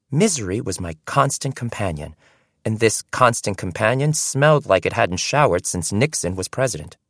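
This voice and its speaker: A man reading a first-person audiobook in an expressive, storytelling way. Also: fairly low pitch, no room sound, clean studio sound. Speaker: audiobook narrator.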